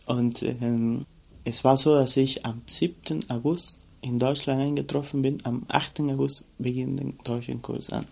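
Reflective man, gravelly voice. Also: medium pitch, fairly even intonation, a little slow, fairly bright tone. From Cuba. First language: Spanish. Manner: reflective, searching for words with many fillers. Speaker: interviewee. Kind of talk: personal storytelling.

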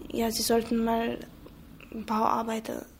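A young woman from Chechnya, a native Chechen speaker, giving a reflective, hesitant personal account with frequent pauses. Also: a little high, completely flat delivery, gravelly, slight background noise. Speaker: interviewee. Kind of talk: personal testimony.

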